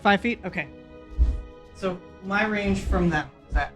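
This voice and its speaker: A man playing in a tabletop role-playing game, chatting casually and thinking his moves out loud in a hesitant way. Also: high voice, a little slow. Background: music.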